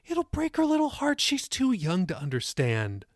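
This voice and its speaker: A man's voice: high voice, moderate pace, mellow, dry recording, studio quality, pitched above the performer's own voice, natural voice. A man voicing a playful young mother in an audiobook story. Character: young wife and mother, playful, expecting a baby.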